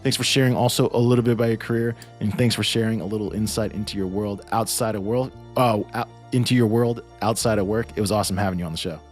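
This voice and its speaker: A man's voice: fairly low pitch, fairly even intonation, fast, few pauses, faint music behind. A man hosting a podcast interview in a casual, chatty, effusive and informal way. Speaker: podcast host.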